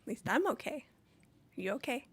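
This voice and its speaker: A woman's voice: a little high, normal speed, slightly rough, dry recording, clean studio sound. A woman co-hosting a music show, a singer who chats playfully and teases the host. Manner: playful, teasing, animated. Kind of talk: casual chat with host.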